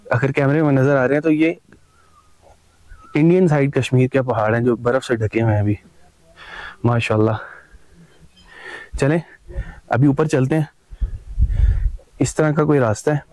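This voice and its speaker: A man's voice: mid-range voice, moderate pace, long pauses, a little mellow, dry recording, rough recording. A man vlogging his mountain trip, chatty and enthusiastic, talking to viewers on a trail outdoors. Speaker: travel vlogger. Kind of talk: casual travel vlog narration.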